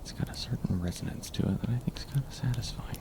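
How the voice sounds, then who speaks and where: A man playing a caring dog in a woodland cabin, in a gentle, soothing ASMR roleplay whisper. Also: mid-range voice, fast talker, pitched above the performer's own voice, close to an everyday voice.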